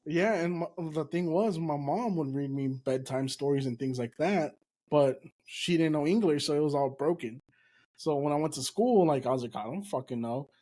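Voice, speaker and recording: A man from California whose first language is Spanish. He is a comedian on a podcast, telling stories from his childhood in a casual chat, and his voice sits a little high. He swears casually as he goes. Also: moderate pace, dark tone. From California, USA. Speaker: comedian guest.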